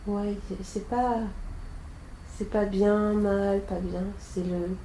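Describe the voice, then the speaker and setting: A woman drifting through a past-life recall under hypnosis, halting and trailing off into murmurs. Her voice is gravelly and very dark, with a roomy echo around it. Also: medium pitch, steady tone, slight background noise. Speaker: hypnosis client.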